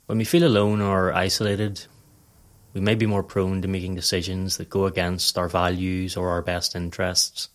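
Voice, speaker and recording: A man with a low-pitched voice narrates a guided meditation. His delivery is scripted, calm and measured. He gives instructions in a composed, even-handed way.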